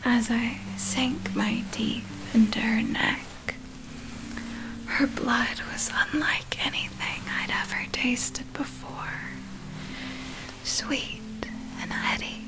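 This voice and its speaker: Woman playing a whispering, wicked vampire, very slow. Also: a little high, steady tone. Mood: predatory, relishing. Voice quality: breathy, unvoiced whisper. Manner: slow, deliberate, savoring storytelling. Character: wicked female vampire, savoring past hunts and treasures. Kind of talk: whispered ASMR roleplay monologue.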